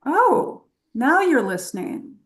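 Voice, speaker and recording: A woman playing a flirtatious, knowing adventurer professor in a script table read, with a teasing, unhurried delivery. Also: high voice, very animated, fairly bright tone, exaggerated voice.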